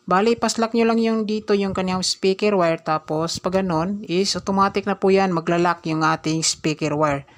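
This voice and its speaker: A man's voice: sits high, steady tone, normal speed, few pauses. A man in a casual review vlog, explaining a speaker step by step and often calling his viewers "guys", recorded indoors at night. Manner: chatty, explains step by step, keeps saying "guys".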